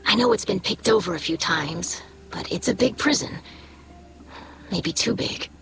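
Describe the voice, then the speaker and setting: A woman voicing an earnest, curious young alien scholar in a video game, with a gentle, tactful, slightly hesitant manner. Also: fairly low pitch, expressive, measured pace, vocal fry, a crowd in the background. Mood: eager. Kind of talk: scripted video game dialogue. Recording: music behind the voice. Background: game music.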